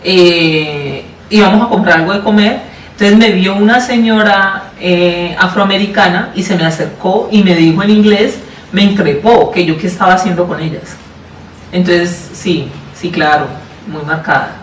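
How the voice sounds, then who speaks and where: A woman with a Colombian accent answering interview questions in a thoughtful, deliberate way, often repeating and rephrasing herself. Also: on the low side. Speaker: interview guest, public education official. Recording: roomy, distant mic, faint noise.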